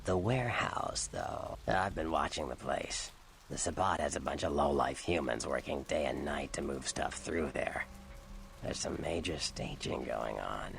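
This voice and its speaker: An actor playing a seedy, cynical vampire informant in a video game, sardonic and streetwise in manner. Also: male voice, a little slow, a bit bright, slight background noise, heavily acted. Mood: scornful, explaining. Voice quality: gravelly, deep.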